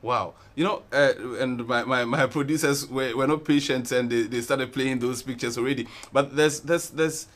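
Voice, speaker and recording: A man's voice: medium pitch, fairly even intonation, moderate pace, few pauses, a bit bright. A man in his thirties hosting a TV studio interview, asking probing questions and often repeating words as he restarts. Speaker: TV talk show host. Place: TV studio set.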